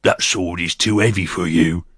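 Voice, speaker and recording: A man voicing a big, grinning male mercenary with a gruff, teasing manner. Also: deep voice, steady tone, normal speed, a bit bright, dry recording, studio quality. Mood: mocking.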